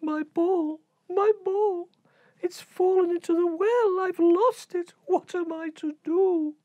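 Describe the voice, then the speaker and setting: A woman doing a high, whiny young princess. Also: animated, slow pace, mellow, dry recording, highs cut off. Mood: excitable. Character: young fretful princess, excitable and spoiled.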